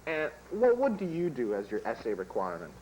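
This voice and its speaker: A man's voice: mid-range voice, huge pitch swings, a little fast, a little mellow, slight background noise, poor audio. Rambling teenage boy interviewer. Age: teens. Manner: rambling, full of "like" and "um". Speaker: student interviewer. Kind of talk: improvised school TV sketch.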